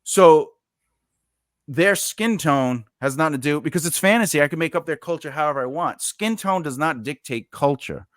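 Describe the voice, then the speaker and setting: A man's voice: fairly high pitch, animated, moderate pace, a bit bright, no room sound, clean studio sound. A man hosting a casual podcast chat, rambling with lots of fillers and restarts. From United States. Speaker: podcast host.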